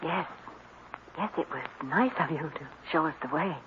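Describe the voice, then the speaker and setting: An actress playing a poised, inquisitive society lady and sleuth's companion in an old radio drama, polite and expressive. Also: low-pitched, normal speed, lo-fi sound, natural voice. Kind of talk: 1940s radio acting. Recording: hissy, band-limited old radio recording.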